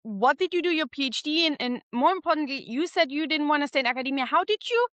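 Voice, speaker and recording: A woman's voice: high-pitched, animated, quick pace, a bit bright, dry recording. A woman hosting an interview on a video call, asking curious, encouraging questions in a casual way. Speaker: interview host.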